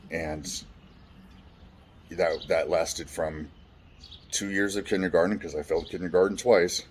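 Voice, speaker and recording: Very deep-voiced man telling his story. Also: fairly even intonation, a little slow, a little hiss or hum. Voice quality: deep resonant voice. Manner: frank, swears casually, many restarts. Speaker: vlogger. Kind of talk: candid personal storytelling.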